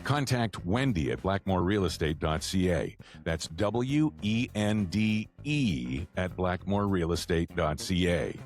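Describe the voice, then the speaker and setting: Lively low-voiced ad announcer, a man. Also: a little slow, hardly any pauses. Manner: wry, playful asides. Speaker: podcast sponsor announcer. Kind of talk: conversational ad read.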